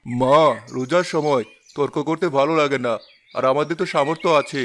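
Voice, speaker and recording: A man voicing a calm, kind, well-off father in a cartoon, speaking gently. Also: a little high, a little fast, natural voice. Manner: gentle, soft-spoken. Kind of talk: cartoon voice acting.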